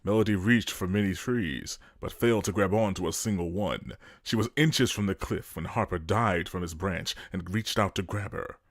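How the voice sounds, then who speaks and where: A man's voice: deep voice, moderate pace, few pauses, no room sound. A man reading scripted dramatic narration as the storyteller of an audio drama.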